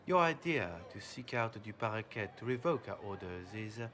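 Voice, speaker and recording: An actor playing a courteous, composed assassin posing as a nobleman in a video game, speaking formally and in measured phrases. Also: on the low side, expressive, natural voice. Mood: calm, courtly. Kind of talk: video game cutscene acting.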